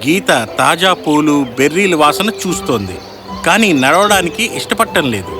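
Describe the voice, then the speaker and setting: A man narrating a children's fairy tale as a dramatic, expressive storyteller. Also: on the high side, normal speed, over music.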